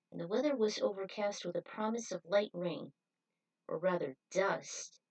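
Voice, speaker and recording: A woman's voice: medium pitch, darkish tone. A woman reading their own story aloud as narrator, starting with casual introductions. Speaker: author reading their own fan fiction. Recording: voice distant from the mic.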